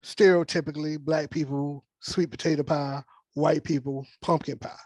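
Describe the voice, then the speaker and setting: A man's voice: on the high side, fairly even intonation, normal speed, a little mellow, no echo. American man explaining things, dry close sound. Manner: conversational, often checks with "you know what I'm saying". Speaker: scriptwriter leading a virtual table read. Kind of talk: casual discussion, explaining a script. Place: indoors on a video call.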